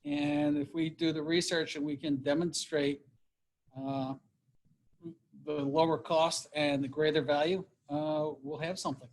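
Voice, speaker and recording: A man in a casual meeting discussion, explaining at a measured pace with many fillers. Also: fairly high pitch, level tone. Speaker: meeting participant.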